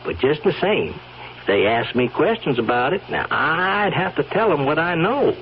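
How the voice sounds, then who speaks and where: A man's voice: on the high side, very animated, moderate pace. An actor playing a sly, folksy stable hand turned blackmailer in a radio drama, rambling and insinuating as he wheedles. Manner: folksy, rambling, insinuating, chuckling drawl of hesitations. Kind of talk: old radio drama acting. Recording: dull, hissy old radio recording.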